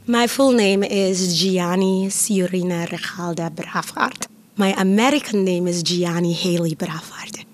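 Lively woman telling her story. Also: lowish voice, a little slow. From Curaçao. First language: Papiamentu. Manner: lively, chatty, laughs often. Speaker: interviewee. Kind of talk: personal storytelling.

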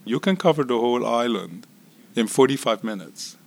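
A man in his sixties from Israel and Curaçao, telling his life story in an interview at an unhurried pace that trails off softly at phrase ends. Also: medium pitch, lively intonation, gravelly. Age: 60s. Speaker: interview guest. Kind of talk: relaxed personal storytelling.

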